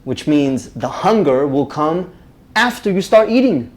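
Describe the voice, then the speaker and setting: A man from Romania, teaching a live public speaking workshop, energetic and often checking in with the group. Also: fairly high pitch, a little slow. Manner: energetic, checks in with "right?" constantly.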